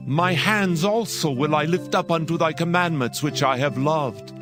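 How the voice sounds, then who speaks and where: A man's voice: a little high, normal speed. A man giving a dramatized reading of scripture. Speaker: scripture reader. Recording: reading over a music bed.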